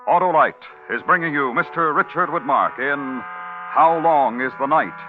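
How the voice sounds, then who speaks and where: Dramatic male old-time radio announcer, tinny sound. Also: fairly high pitch. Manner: dramatic, measured proclamation. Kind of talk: formal 1950s radio announcing. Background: loud dramatic music.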